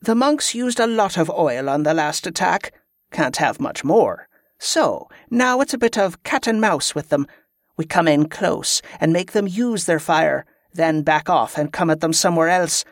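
A man's voice: fairly high pitch, lively intonation, unhurried, dry recording, studio quality. A man voicing a stern, scornful female military commander who gives clipped orders in inverted dialect phrasing, in a fantasy audiobook. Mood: scornful, instructing.

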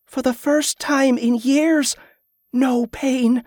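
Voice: high-pitched, lively intonation, a little slow, darkish tone, dry recording, studio quality, pitched above the performer's own voice. A performer voicing a defiant, earnest young farm girl in an audiobook, with fervent rustic phrasing. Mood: fervent, awed.

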